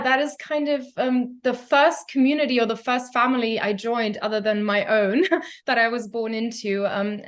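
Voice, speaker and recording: Reflective German-accented woman. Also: medium pitch. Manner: thoughtful, reflective, with soft laughs and hesitations. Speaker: guest, pianist and founder. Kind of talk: podcast interview.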